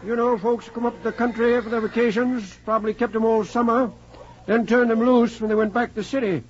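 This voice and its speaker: A man's voice: very high voice, fairly even intonation, fairly quick. A man acting a folksy, plain-spoken old farmer with a rural American accent in a 1940s radio drama. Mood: folksy, certain. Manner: folksy, drawling country talk. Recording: muffled vintage 1940s radio broadcast.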